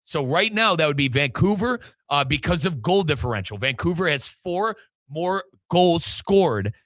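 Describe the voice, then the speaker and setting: Bright, punchy American sports radio man. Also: on the high side, lively intonation, normal speed, no echo, clean studio sound. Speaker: sports radio show host and play-by-play announcer. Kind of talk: energetic sports radio hosting. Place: radio studio.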